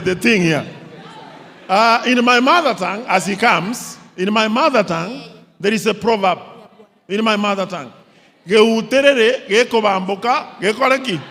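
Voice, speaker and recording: Guy moderating a panel, slow and playful, highish voice, long hall echo. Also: a bit bright. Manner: slow, playful, often laughing. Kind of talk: moderating a public panel discussion. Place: large hall with audience.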